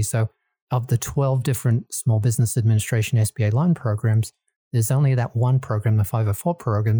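A man in his fifties from Australia with an Australian accent, talking in a relaxed, unhurried way as a guest in an interview, with ums and restarts. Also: on the low side, no echo, studio quality. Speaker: guest, small business lender. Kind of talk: casual interview talk.

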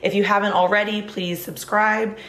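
A woman hosting a podcast, a little hoarse, chatting casually with lots of "like" and restarts. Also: medium pitch, flat delivery, moderate pace, a bit bright, echoey room. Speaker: podcast host. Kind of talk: casual interview chat and solo outro.

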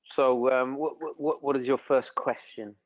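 A man from London whose first language was Italian, speaking with an English accent and a trace of Italian as a guest in a casual interview, halting with lots of restarts. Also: medium pitch, fairly even intonation, no echo, muffled recording. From England. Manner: halting, many restarts and fillers.

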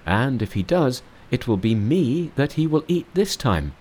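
A man's voice: medium pitch, very animated, a little slow, a little mellow, breathy edge, pitched above the performer's own voice. A man voicing a timid, fretful old monk who worries and complains. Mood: plaintive. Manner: plaintive, worried.

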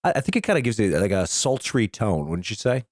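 A middle-aged man with a hoarse voice, casually bantering and swearing as a podcast host. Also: on the low side, big pitch swings, a little fast, no echo, studio quality.

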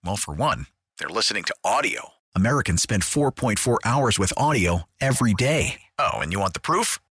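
A man's voice: lowish voice, sing-song, moderate pace, fairly bright tone, breathy edge, dry recording, clean studio sound. A man doing a scripted advertisement voice-over in a conversational style.

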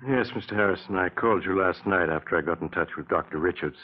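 An actor playing a grave, mysterious American storyteller, measured and ominous, in a 1940s radio suspense drama. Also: male voice, low-pitched. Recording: tinny, narrow-band 1940s radio recording.

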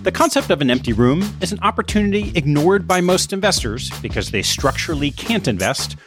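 A man's voice: on the high side, big pitch swings, fairly quick, music in the background. A man hosting an investment podcast, reading a scripted introduction and then opening an interview. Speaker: podcast host.

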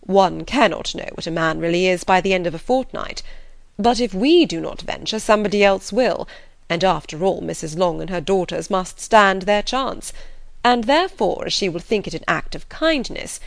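A wry middle-aged gentleman father from a classic storybook, as a woman reader plays him. He teases with formal, measured phrasing and stays composed and sardonic. The sound is dry and close. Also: mid-range voice, expressive, gravelly, no echo. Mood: teasing. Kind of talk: audiobook character voice.

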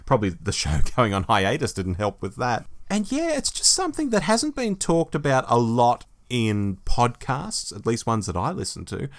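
A man's voice: medium pitch, wildly swooping pitch, moderate pace, few pauses, no room sound. An Australian man in his forties co-hosting a podcast in a casual, reflective, conversational style. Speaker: podcast co-host. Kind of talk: casual chat, discussion.

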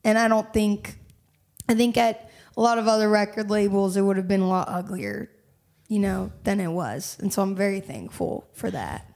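A young woman singer telling her story candidly in a casual interview, animated and quick to laugh. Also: medium pitch. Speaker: singer-songwriter guest.

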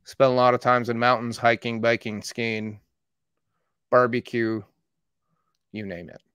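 A man in his thirties, a marketing agency owner from Canada who was born in Iran, telling his story in steady, matter-of-fact conversation. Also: mid-range voice, unhurried, smooth, dry recording. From born in Iran, raised in Canada. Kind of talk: casual conversation.

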